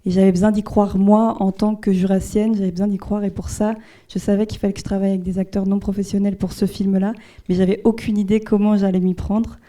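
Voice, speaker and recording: A woman from the Jura, a film director answering audience questions in a hesitant, thoughtful way with frequent pauses. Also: mid-range voice, flat delivery, warm tone. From Jura, France. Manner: hesitant, many fillers and restarts, thoughtful.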